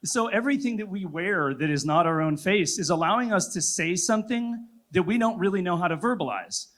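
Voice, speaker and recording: A man's voice: sits high, lively intonation, brisk, a bit bright. Man moderating a conference panel. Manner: reflective, loosely rambling with filler likes.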